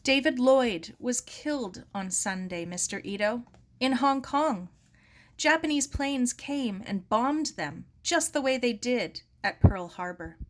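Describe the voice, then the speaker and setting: A woman's voice: fairly high pitch, expressive, slow, voice pushed up. A woman voicing an earnest, sympathetic young girl who speaks plainly. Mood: sad, concerned.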